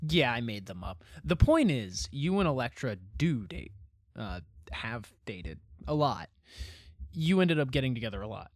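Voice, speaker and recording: A man's voice: mid-range voice, sing-song, moderate pace, dry recording, studio quality. An actor playing a patient but wounded young man in an audio drama, talking in a natural, dryly wry and hesitant way. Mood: wry, casual.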